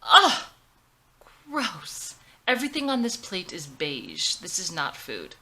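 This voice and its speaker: A woman's voice: on the low side, wildly swooping pitch, slow, bright, forceful tone, slight background noise, full character voice. A woman voicing a snobbish, critical, fussy young woman who gossips with disdain in a drama script. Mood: disgusted.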